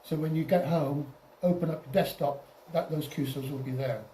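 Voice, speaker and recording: A man giving an informal software tutorial over slides as a club presenter, halting and often repeating or restarting his phrases. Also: a little high, steady tone. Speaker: presenter at an amateur radio club. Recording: roomy, distant mic, faint noise.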